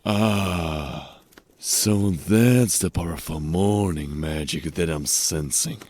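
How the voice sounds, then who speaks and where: Guy acting a real low raspy dad in a fantasy audio drama, talks super slow, wry n gentle. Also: dry recording. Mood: gently teasing. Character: gentle gruff father in a fantasy cabin, weary, teasing.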